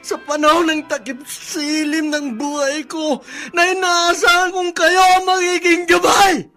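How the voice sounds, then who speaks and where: An actor with a Filipino accent playing a proud, lonely, aging army colonel in a melodramatic radio drama, imperious and anguished. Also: male voice, very high voice, level tone, unhurried, sharp tone, faint music behind, full character voice. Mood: tearful, desperate. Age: older.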